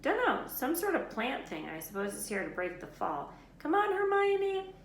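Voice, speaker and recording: A woman's voice: high-pitched, huge pitch swings, normal speed, voice pushed up, exaggerated voice. A woman voicing a brave, earnest schoolboy hero with a direct manner in a storybook reading. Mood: calling out. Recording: roomy echo, background noise.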